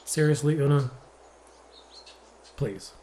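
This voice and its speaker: An actor playing a commanding fantasy adventurer in an audio drama, speaking in a deliberate, emphatic way. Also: male voice, medium pitch, warm tone, a little hiss or hum, close to an everyday voice. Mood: exasperated. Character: commanding male fantasy adventurer giving battle orders.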